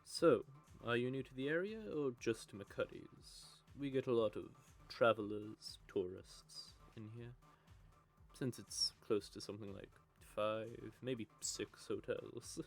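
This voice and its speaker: A man's voice: mid-range voice, measured pace, soft background music, natural voice. A man playing a bitter, sardonic male vampire who curses and mocks. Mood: flirty. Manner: cursing, mocking, gravelly. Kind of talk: audio drama roleplay.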